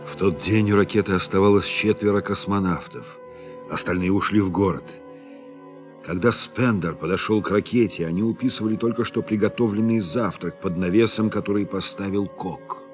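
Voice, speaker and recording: An actor as the composed storyteller of a science fiction audio drama, reading scripted narration. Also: male voice, fairly low pitch, moderate pace, over music, close to an everyday voice. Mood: matter-of-fact.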